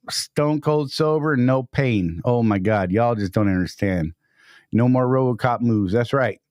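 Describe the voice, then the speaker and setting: A man's voice: mid-range voice, a little mellow, clear, resonant tone, dry recording, studio quality. A man hosting a casual live stream, upbeat and greeting chat viewers by name.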